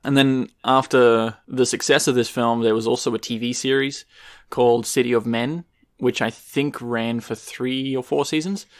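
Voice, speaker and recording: A man's voice: mid-range voice, steady tone, moderate pace. A man co-hosting a casual film podcast, laying out background facts about a movie with plenty of ums and uhs. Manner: informative, shares background facts with fillers. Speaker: podcast co-host.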